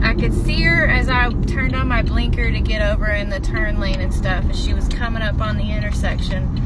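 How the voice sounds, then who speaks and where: A woman telling a story casually to followers, exasperated and emphatic in a conversational way. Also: high-pitched. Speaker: host of a storytelling series. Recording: very loud traffic and vehicle noise.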